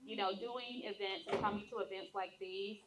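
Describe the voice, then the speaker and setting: A woman on a live panel speaking in a measured, encouraging coaching manner. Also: medium pitch, steady tone, noisy background. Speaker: panelist and podcast host.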